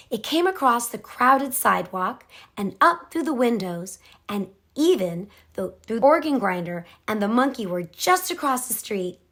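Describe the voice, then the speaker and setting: Slow, expressive woman reading a storybook. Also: on the high side, breathy edge. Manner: expressive, measured read-aloud delivery. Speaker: picture book reader. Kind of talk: scripted storybook narration.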